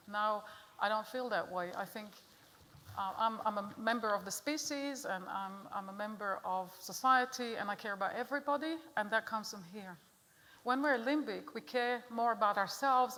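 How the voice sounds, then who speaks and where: A woman's voice: mid-range voice, fairly bright tone. A middle-aged woman from Israel with an Israeli accent gives an earnest, direct talk to an audience and puts questions to them. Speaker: speaker giving a talk. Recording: long hall reverb.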